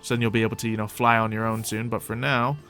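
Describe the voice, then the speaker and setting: A young man hosting a modded game roleplay, chatting casually as he plays and talks to his pet dragon, with soft music behind him. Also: lowish voice, level tone, quick pace. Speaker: roleplay gameplay host. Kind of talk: casual gameplay roleplay commentary.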